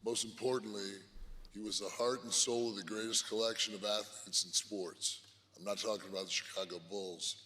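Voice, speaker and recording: Hoarse male inductor, bright edgy voice, big hall reverb with faint ambience. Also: lowish voice, fairly even intonation, moderate pace, slight background noise. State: hoarse, lost voice. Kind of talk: ceremony speech. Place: large arena hall.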